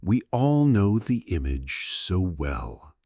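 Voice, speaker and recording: A man narrating a scripted history story for sleep, in a gentle, soothing storyteller's manner with dramatic pauses. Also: low voice, very animated, slightly rough, no room sound, studio quality.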